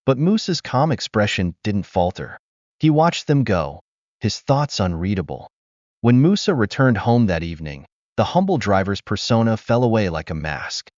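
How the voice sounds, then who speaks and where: A man narrating a scripted moral tale in a smooth, expressive storytelling manner. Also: on the low side, dry recording. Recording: dull dry recording.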